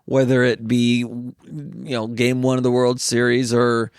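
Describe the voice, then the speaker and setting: A young man with a mid-pitched voice tells a story with lively energy and acts out what other people said. He speaks casually and right up close to the mic. The sound is dry, with no echo. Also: unhurried, clear, resonant tone. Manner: animated storytelling, quoting others. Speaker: podcast co-host, comedian. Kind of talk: casual chat.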